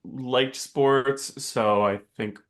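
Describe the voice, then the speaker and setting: A man chatting casually as a podcast panelist, speaking in a slightly halting, hesitant way. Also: mid-range voice, fairly even intonation. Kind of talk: casual chat.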